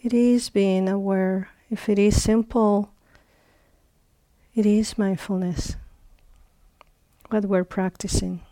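Slow, mellow woman guiding meditation. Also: mid-range voice, fairly even intonation, dry recording. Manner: unhurried, with long pauses between short phrases. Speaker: meditation teacher. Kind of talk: guided meditation instruction.

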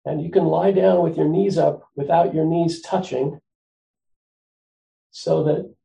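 Soft-spoken man with a gravelly, dark voice. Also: on the high side, steady tone, rough recording. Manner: gentle, unhurried, with frequent pauses and fillers. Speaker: meditation teacher. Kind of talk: guided meditation instruction.

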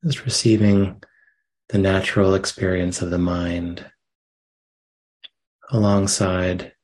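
Low, slow-speaking man guiding meditation. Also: level tone. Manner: gentle, unhurried phrasing with long pauses. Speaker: meditation teacher. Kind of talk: guided meditation, slow soft instruction.

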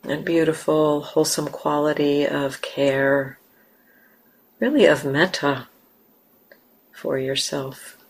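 Very slow, low-voiced woman guiding meditation. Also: husky. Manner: gentle, unhurried, with long pauses. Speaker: meditation teacher. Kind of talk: guided meditation. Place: meditation center.

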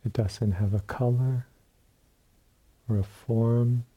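Man, meditation teacher, very mellow and dark tone, husky, calm, unhurried phrases with long pauses. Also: mid-range voice, dull audio. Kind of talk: guided meditation. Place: meditation retreat room.

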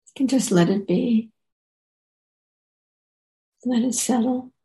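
A woman teacher leading a guided meditation in a soft, relaxed way, leaving gentle pauses. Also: a little high.

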